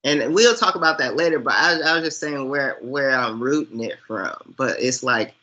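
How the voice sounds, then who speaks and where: A man talking casually as a guest reviewer, reflective and halting as he works through long, winding explanations. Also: mid-range voice, animated, sharp tone. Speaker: guest, online movie reviewer. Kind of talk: casual chat.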